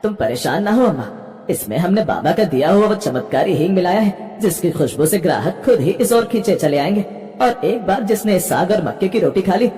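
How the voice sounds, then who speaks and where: A woman's voice: lowish voice, animated, brisk, dark tone, lightly acted. A woman voicing a poor, grateful dwarf man, respectful and eager, in a cartoon tale. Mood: reassuring. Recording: reverberant hall-like sound.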